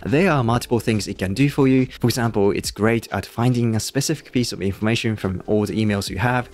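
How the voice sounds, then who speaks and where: A man's voice: lowish voice, fast talker, a little mellow. A man in his thirties with a Japanese accent, presenting a scripted review to camera into a close microphone. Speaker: YouTube tech reviewer. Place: small room indoors. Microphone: close podcast-style microphone on a boom. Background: background music.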